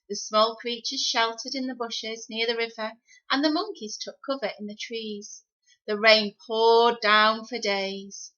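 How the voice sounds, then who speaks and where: A woman reading a children's story expressively, with deliberate, dramatic phrasing. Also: on the high side, sharp tone. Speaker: storybook reader. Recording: slightly dull, lo-fi sound.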